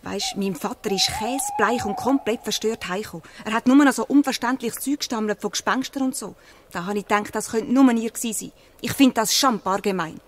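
A woman's voice: fairly high pitch, a little fast, a little hiss or hum, lightly acted. A woman playing an earnest, pleading young girl in a Swiss German radio play.

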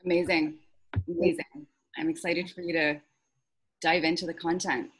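A woman's voice: lowish voice, very animated, moderate pace, slight background noise, poor audio. A woman hosting an online group workshop on a video call, speaking informally in an encouraging, affirming way. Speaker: workshop host.

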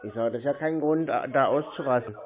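A man's voice: medium pitch, fast, a crowd in the background. A man chatting casually on a phone call in a laconic, stubborn, needling way. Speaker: scam-baiter taking phone calls. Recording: muffled narrow-band phone call audio.

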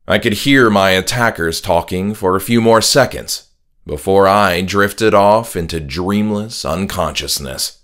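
A man reading a scripted first-person horror story as an audiobook narrator, in a measured, dramatic way. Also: low-pitched, fairly bright tone. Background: music.

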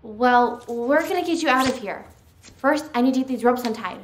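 An actress playing a determined, urgent rescuer in an audio drama. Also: female voice, fairly high pitch, moderate pace, a little hiss or hum, close to an everyday voice. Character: determined young female rescuer, hushed and urgent.

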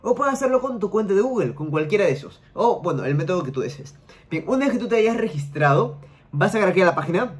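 A man's voice: sits high, expressive, fast talker. A young man hosting a screen-share tutorial, giving direct and repetitive step-by-step instructions to the viewer from a small room at home. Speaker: YouTube money-making tutorial host.

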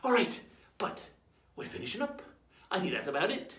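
A man's voice: sits high, sing-song, moderate pace, fairly bright tone. A man playing a jolly, boastful old pirate storyteller, rambling along in a folksy, theatrical way as he hosts a playful lesson. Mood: rowdy, jolly. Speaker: host of pirate-themed lessons. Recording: roomy echo.

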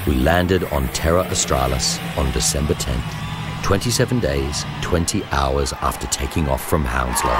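A man reading a first-person memoir as scripted narration, with measured, deliberate phrasing. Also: rumbling low. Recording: music behind the voice. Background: music.

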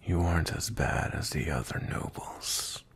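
A man playing a cold, possessive, dominant vampire knight in an intimate ASMR roleplay, with a slow, breathy, menacing murmur. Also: low-pitched, full character voice. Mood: seductive, menacing. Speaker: audio roleplay voice actor.

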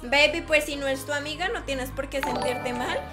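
A high-pitched young woman chats casually to her live-stream viewers through a headset, answering messages with easy affection. Music plays clearly underneath her voice in a small home streaming room. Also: moderate pace. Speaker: game streamer. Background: background music.

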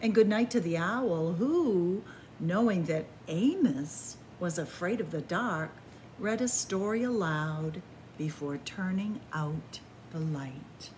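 A woman reads a children's picture book aloud at a leisurely pace. Her pitch is mid-range and moves up and down expressively, with deliberate pauses between phrases. Some room noise sits behind her. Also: lively intonation, a little mellow, rough recording. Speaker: librarian reading picture books aloud to children. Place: indoors in a library room with bookshelves.

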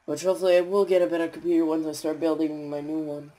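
A young man with a raspy voice and a stuffy nose, rambling tiredly with many fillers as he comments on his gameplay. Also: fairly high pitch, monotone, fast talker, mellow, rough recording. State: stuffy nose, sore throat.

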